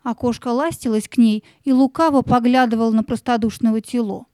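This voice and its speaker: High, mellow woman storyteller. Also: a little fast, no echo. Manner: expressive, suspenseful. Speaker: narrator. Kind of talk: dramatic scripted storytelling.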